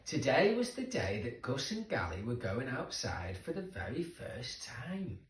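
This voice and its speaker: A man narrating a children's story expressively, with dramatic emphasis for young listeners. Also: medium pitch. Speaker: storybook reader. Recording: roomy echo, distant microphone.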